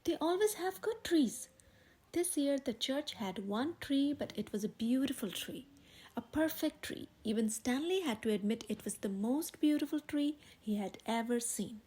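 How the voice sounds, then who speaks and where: A woman reading a children's picture book aloud as a gentle, expressive storyteller. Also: on the high side, normal speed.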